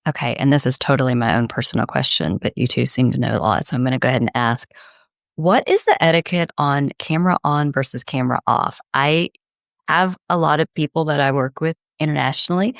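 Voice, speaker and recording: A woman podcast host asks her questions in a casual, curious way and pokes fun at herself now and then. Her voice is low for a woman and has a gravelly fry. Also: no room sound, clean studio sound. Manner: curious, casual, self-deprecating asides. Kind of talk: interview questions.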